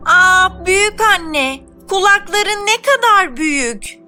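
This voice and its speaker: A tiny, innocent storybook girl, speaking extremely high with a bright, piping edge. She sounds sweet, naive and sincere. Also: lively intonation, slow pace, faint music behind, heavily acted. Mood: amazed. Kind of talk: children's fairy tale voicing.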